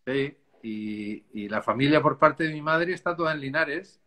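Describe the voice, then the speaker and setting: Spanish-accented male winemaker, 50s, bright and a touch high, easygoing storyteller on a video call. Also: animated, normal speed. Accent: Spanish, softened by years in Chile. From Spain. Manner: chatty, rambling, laughs easily. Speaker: winemaker guest. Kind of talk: casual video call interview. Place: dark room at home. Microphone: video call, laptop camera.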